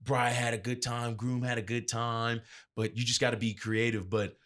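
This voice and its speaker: Animated man telling stories casually. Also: mid-range voice, moderate pace. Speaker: interview guest, event DJ. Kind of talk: casual anecdotal storytelling.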